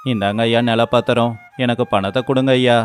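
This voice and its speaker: A man's voice: medium pitch, steady tone, a little fast, faint music behind, lightly acted. A man playing a poor, humble village labourer and devoted husband in a moral story, with a pleading, deferential manner. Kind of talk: dramatized moral story voice acting.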